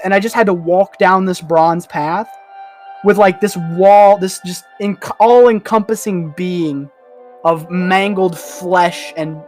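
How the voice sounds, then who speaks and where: A man talking casually and emphatically as a podcast panelist, telling stories in a colloquial way. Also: high-pitched. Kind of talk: casual chat and storytelling.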